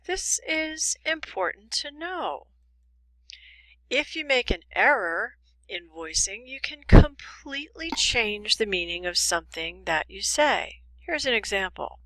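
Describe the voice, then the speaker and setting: A woman teaching English pronunciation in a scripted lesson, enunciating deliberately and carefully. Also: on the high side, sing-song, bright, forceful tone, no echo, poor audio. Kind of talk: scripted instructional lesson with demonstrations.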